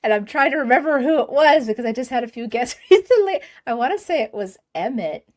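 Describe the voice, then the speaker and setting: A woman's voice: high-pitched, lively intonation, fairly quick, darkish tone, a little hiss or hum. A woman hosting a fan podcast, chatting eagerly with her guest and laughing often. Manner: bubbly, laughs often, enthusiastic. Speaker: podcast host. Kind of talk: casual fan interview.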